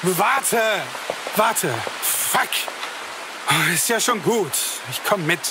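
Ein Mann als nervöser, stotternder junger Mann. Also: male voice, high-pitched, expressive, very slow, noisy background, heavily acted. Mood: nervous. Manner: stammering, hesitant. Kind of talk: audio drama acting.

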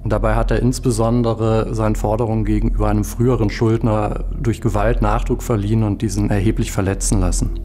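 A man in his forties giving formal, measured legal statements as a court spokesman. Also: fairly low pitch, level tone, warm tone, a little hiss or hum. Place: courtroom, indoors.

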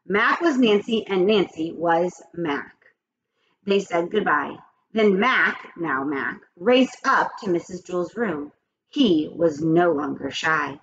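A woman in her forties, a teacher, reading a children's chapter book aloud in an expressive, animated way at a webcam in a small home office. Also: medium pitch. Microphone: webcam at desk. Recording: dull lo-fi webcam audio.

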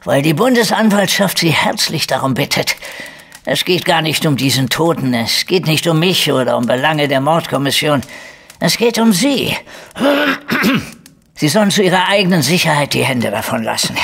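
Gravelly, weary policeman. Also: on the high side, very animated, normal speed, a bit bright, full character voice. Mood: gruff, warning. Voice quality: gravelly, husky. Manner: blunt, hesitant, throat-clearing. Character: weary, evasive, gruff male police investigator. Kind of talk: German crime audio drama dialogue.

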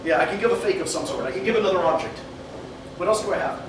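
A young man giving a meetup talk at a whiteboard, animated and laughing often as he thinks aloud and takes questions. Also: high voice, fast talker. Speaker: meetup speaker. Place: meeting room with an audience. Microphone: far camera mic. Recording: echoey room from far off, noisy.

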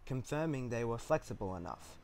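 A man serves as narrator for a scripted story. His voice sits at an ordinary middle pitch. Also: expressive, fairly quick, darkish tone, a little hiss or hum.

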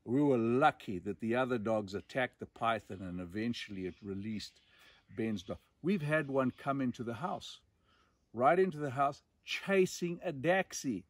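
A man in his sixties with a Zimbabwean accent tells stories emphatically, pausing and repeating words for dramatic effect. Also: medium pitch, animated, no echo. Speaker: storyteller and host. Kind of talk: animated outdoor storytelling. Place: outdoors in dense bush.

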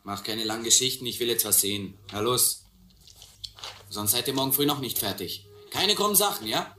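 An actor playing a tough, bossy, street-wise young slum gang leader in a radio drama, curt and commanding. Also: male voice, medium pitch, a little fast, roomy sound, noisy background, close to an everyday voice. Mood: impatient, commanding.

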